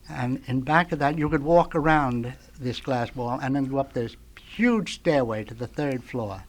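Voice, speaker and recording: A middle-aged or older man, a radio veteran guest, reminisces nostalgically in an emphatic, repetitive storytelling way. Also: a little high.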